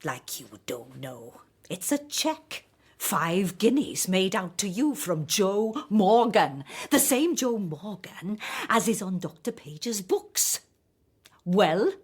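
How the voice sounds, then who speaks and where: An actress playing a stern, suspicious woman in a radio drama, speaking in a sharp, imperious, sarcastic way. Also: medium pitch, very animated, slow pace, breathy edge, full character voice. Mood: accusing.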